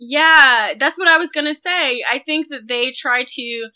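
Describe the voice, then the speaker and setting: A woman in her thirties co-hosting a podcast, casually discussing films in an expressive, effusive way. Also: high-pitched, measured pace. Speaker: podcast co-host.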